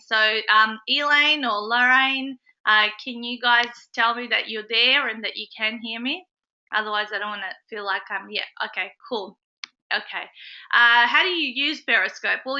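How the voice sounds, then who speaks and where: A bright, sharp-toned woman with a fairly high voice talks casually to members on a live call, filling her sentences with ums and uhs. She has a slight cold that makes her a bit nasal, and the call audio is dull and lo-fi. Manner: chatty, with many ums and uhs. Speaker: host of a business support group call. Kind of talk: casual webinar talk to members.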